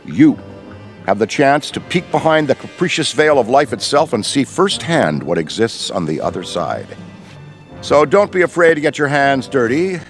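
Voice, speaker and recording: An actor playing Ray, an enthusiastic middle-aged veteran ghostbuster who rambles in wordy, professorial speech. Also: male voice, medium pitch, sing-song, moderate pace, faint music behind. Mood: lively. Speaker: veteran mentor. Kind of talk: video game cutscene voice acting.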